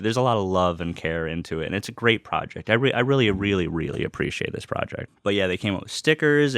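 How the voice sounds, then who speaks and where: A man's voice: sits low, a bit bright, no room sound, studio quality. A man chatting casually to viewers in a rambling, enthusiastic fan style, with emphatic repetition and swearing. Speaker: vlogger unboxing vinyl and merchandise.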